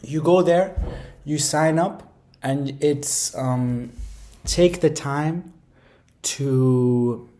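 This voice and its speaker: A man's voice: fairly high pitch, drawn-out words, long pauses, dark tone. A man giving casual, rambling how-to advice, speaking informally and emphatically and often repeating phrases for stress. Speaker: language-learning video creator.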